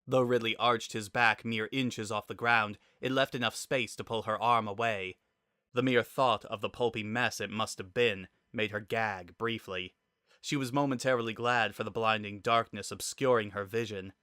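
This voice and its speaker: A man reads a dramatic story as its narrator. His voice is fairly low with a bright, forceful edge, and the recording is dry and close. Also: steady tone, moderate pace, clean tone, no room sound.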